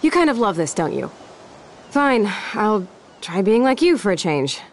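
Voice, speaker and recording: A woman's voice: mid-range voice, sing-song, measured pace, slight background noise. An actress playing a brash, hot-headed, rebellious young woman in a video game, talking in a blunt, sarcastic, combative way. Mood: sardonic. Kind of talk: dramatic video game voice acting.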